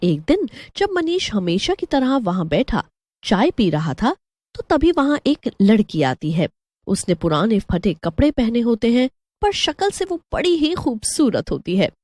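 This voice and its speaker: A woman's voice: on the high side, big pitch swings, unhurried, a little mellow, dry recording. A woman narrating a scripted story as the storyteller.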